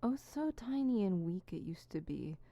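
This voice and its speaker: Low, creaky insecure supervillain, acted by a woman. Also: sing-song, moderate pace, dark tone, full character voice. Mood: grandiose, brooding. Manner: fumbling self-conscious muttering broken by pompous verse recital. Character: insecure, theatrical toad-themed supervillain rehearsing grand monologues. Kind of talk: ASMR roleplay, scripted solo audio drama.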